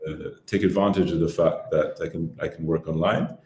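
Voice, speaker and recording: Very deep-voiced man from Barbados. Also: normal speed, echoey room. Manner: relaxed, rambling, many fillers and restarts. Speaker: podcast guest. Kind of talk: casual interview chat.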